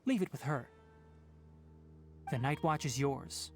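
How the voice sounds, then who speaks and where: A man voicing a calm, principled young fox-eared forest scholar in a video game, speaking in a measured, articulate, earnest way. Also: mid-range voice. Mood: gently firm. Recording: game music behind voice.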